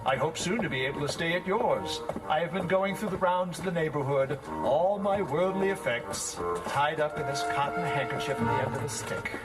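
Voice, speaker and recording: An actor playing a fussy, stern schoolmaster in an audio drama, with pompous, florid, theatrical diction. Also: male voice, a little high, normal speed, hardly any pauses, loud music behind the voice, natural voice, low-quality recording. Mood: self-pitying, grand.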